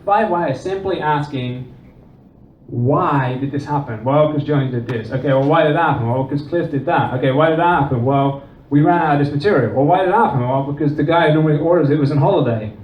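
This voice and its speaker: Man, dutch accent, lively conference presenter, higher voice, distant echoey dull recording. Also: fast talker. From Netherlands and England. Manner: animated, acts out quoted dialogue. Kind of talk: conference talk. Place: conference room. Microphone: distant room recording. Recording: distant, roomy, dull with faint noise.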